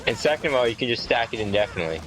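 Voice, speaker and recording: A man's voice: medium pitch, expressive, racing, low-quality recording. A man chatting casually over a video game, arguing his case point by point. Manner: argumentative, point-by-point reasoning. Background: game music.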